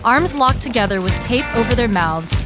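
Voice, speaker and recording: A woman playing a brisk, formal TV news reporter delivering a live report in an audio drama. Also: a little high, lively intonation, lightly acted. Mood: brisk, neutral. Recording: loud music under the voice.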